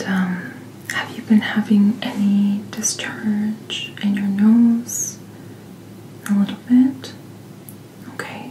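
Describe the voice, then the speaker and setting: Whispering, slow-paced woman in soft ASMR roleplay. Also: mid-range voice. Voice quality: soft, breathy, mostly whispered. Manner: gentle, unhurried, reassuring bedside manner. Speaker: ASMR performer playing a doctor. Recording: roomy sound, clear background noise.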